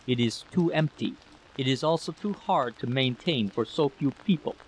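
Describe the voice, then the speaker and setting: A man's voice: medium pitch, moderate pace, natural voice, poor audio. Male reader as uneasy, plain-spoken soldier for a sci-fi tale, middle register, slight background hiss. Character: uneasy, earnest Russian soldier.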